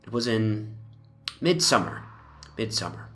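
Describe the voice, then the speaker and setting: A low male voice of an actor performing a haunted, reclusive dreamer from a gothic horror tale. He speaks with solemn, measured formality, brooding over every phrase. Soft music runs underneath the dramatic reading. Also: very animated. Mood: haunted, reflective. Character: Jervas Dudley, haunted, reclusive dreamer recounting his obsession. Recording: narration over a music soundscape.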